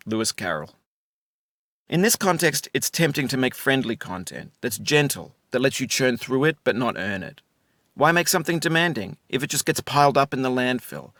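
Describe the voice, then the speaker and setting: A middle-aged man narrating a game in a calm, musing and wry way, reciting quotations and verse. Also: medium pitch, dry recording, studio quality. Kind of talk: scripted reflective game narration.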